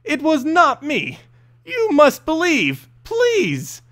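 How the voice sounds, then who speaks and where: Man acting out sly middle-aged male vizier, fantasy storybook, ingratiating, high voice, swooping intonation. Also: huge pitch swings, fairly bright tone, exaggerated voice. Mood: pleading. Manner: ingratiating, deliberate.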